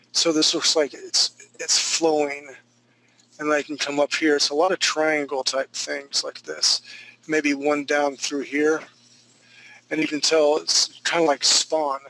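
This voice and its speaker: Male drawing instructor in his thirties, bright, slightly high voice, chatty and joking, faint hiss behind. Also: poor audio. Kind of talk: live drawing class narration.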